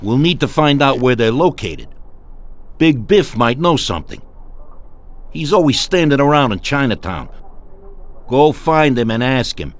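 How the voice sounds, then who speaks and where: An actor playing a commanding, ruthless older mafia boss with an authoritative, menacing manner in a video game. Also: male voice, medium pitch, very animated, a little slow, pauses often. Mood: businesslike. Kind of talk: video game cutscene acting.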